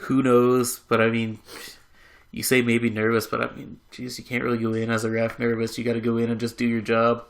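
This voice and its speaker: Flat, gravelly man, dry remarks. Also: mid-range voice, a little fast. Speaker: podcast co-host. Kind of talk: casual chat.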